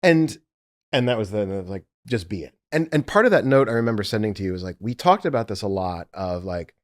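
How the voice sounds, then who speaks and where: A man with a fairly low voice talks casually as a producer looking back on his work. He tells it like a story, voicing his own past thoughts as he goes. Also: animated, brisk. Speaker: audiobook executive producer. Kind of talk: casual behind-the-scenes conversation.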